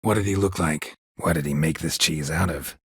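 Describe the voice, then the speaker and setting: An actor playing a gruff, stoic, sardonic middle-aged monster hunter in a video game, a gravelly rasp and terse, deadpan delivery. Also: male voice, extremely low pitch, normal speed, no room sound, clean studio sound, exaggerated voice. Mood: dry, questioning. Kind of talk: video game character dialogue.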